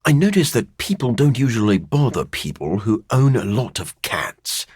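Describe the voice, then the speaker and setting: An actor voicing a brooding, dryly witty gentleman vampire scientist in a video game, with a formal, measured, theatrical delivery. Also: fairly low pitch, very animated, slightly rough, no echo, clean studio sound. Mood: dryly playful. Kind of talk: scripted video game character lines.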